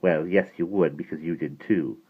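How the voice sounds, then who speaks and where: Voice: low voice, moderate pace, breathy edge. Guy doing a proud teasing teen boy for an audiobook, clipped and emphatic, muffled phone-line sound. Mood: brisk, teasing. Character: proud teenage Welsh boy, brisk and teasing. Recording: muffled, phone-like narrow band.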